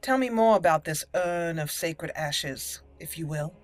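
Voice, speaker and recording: A woman's voice: deep voice, lively intonation, a little slow. Female let's play host, casual commentary, game music underneath. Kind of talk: casual gameplay commentary with roleplayed dialogue readings.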